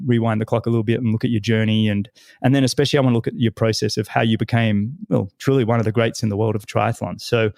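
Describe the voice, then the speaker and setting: A middle-aged man hosting an interview podcast, slightly raspy from a head cold, friendly and calling his guest "mate". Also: medium pitch, darkish tone, dry recording, clean studio sound. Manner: friendly, says "mate" often. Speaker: podcast host.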